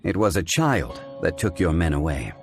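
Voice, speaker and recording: A man playing a calm, learned investigator in a video game, speaking in a measured, formal way. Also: low voice, music in the background. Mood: composed, analytical. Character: calm, learned, dignified male consultant investigator. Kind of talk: video game character acting.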